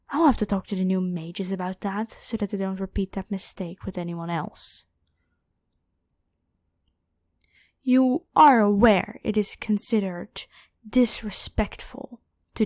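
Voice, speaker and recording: A woman's voice: medium pitch, darkish tone, no room sound, lightly acted. Woman voicing an imperious, evil ancient pharaoh queen, close and dry. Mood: haughty, weary. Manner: haughty, demanding, theatrical. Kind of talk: scripted ASMR roleplay monologue.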